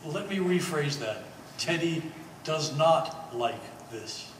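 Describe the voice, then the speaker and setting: A man playing a reasonable, easygoing family mediator in a stage play, speaking in a measured, persuasive, conversational way. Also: fairly high pitch, animated. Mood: earnest. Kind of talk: live stage play acting. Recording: echoey hall, distant mic, faint noise.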